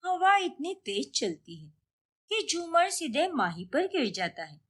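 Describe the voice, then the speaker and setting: A woman's voice: sits high, big pitch swings, measured pace. A woman narrating a scripted, dramatic story as its storyteller.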